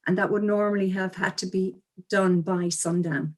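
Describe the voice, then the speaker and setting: Female interview guest. Explains culture and custom in a measured, articulate way. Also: medium pitch, fairly even intonation.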